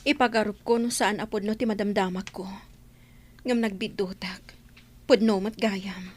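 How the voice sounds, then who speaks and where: A woman acts a young expectant mother in a village radio drama. She speaks at a medium pitch, sounding wounded yet resigned about being jilted. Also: steady tone, moderate pace, close to an everyday voice. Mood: hurt, resigned. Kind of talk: Ilocano radio drama acting.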